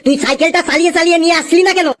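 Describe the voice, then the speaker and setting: High-pitched, fast-talking, exasperated cartoon schoolteacher played by a woman. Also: fairly even intonation, a little hiss or hum. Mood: questioning, incredulous. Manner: scolding, questioning, threatening. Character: exasperated, scolding schoolteacher in a comic classroom cartoon. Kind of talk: cartoon comedy dialogue.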